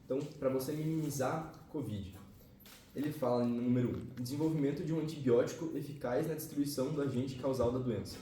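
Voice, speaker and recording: A man explains biology to his students in a loose, slangy way, swearing now and then and checking in with them often. His voice sits at an ordinary pitch and is picked up from a distance. The room is echoey and the recording is noisy. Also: a little fast, mellow. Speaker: biology teacher. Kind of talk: casual classroom lesson. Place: classroom.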